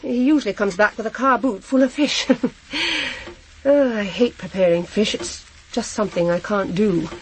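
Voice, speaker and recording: An actress playing a shocked, hesitant, proper middle-aged housewife who has been widowed, in a naturalistic radio drama. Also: female voice, mid-range voice, slight background noise, lightly acted, poor audio. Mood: dazed, rambling. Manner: halting, flustered, repeats herself. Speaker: actor in a crime drama.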